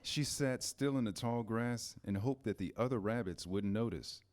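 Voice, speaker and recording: A man reading scripted storybook narration in a measured, storytelling manner. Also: mid-range voice, a little mellow.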